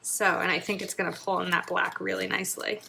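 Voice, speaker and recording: A woman chatting casually while decorating her planner, thinking aloud and sometimes dropping to a murmur, her throat a little raspy from a long filming session. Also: on the low side, little pitch movement, fairly quick, background noise. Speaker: planner vlogger. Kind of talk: casual planning video chat.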